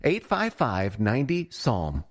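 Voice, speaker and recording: Smooth ad read by a man, dry close sound. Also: mid-range voice, wildly swooping pitch, measured pace, no echo. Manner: smooth, persuasive. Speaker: ad announcer. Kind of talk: scripted radio commercial.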